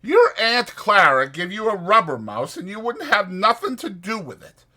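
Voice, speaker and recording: A man's voice: sits high, sing-song, normal speed, bright, forceful tone, voice pushed up, exaggerated voice. A man voicing an impatient, bossy but caring ranch hand who talks in a brusque rural American vernacular in an audiobook. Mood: irritated.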